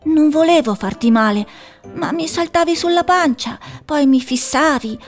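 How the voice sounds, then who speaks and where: A woman voicing a small, frightened male pet hedgehog who talks high and shrill and rises to shouting in a storybook. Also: normal speed, faint music behind, natural voice. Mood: plaintive.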